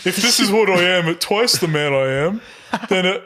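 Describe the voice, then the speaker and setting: Man, tabletop player, slightly high pitch, crisp forceful tone, casual comic improvisation. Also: expressive, normal speed. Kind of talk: casual improvised comedic roleplay.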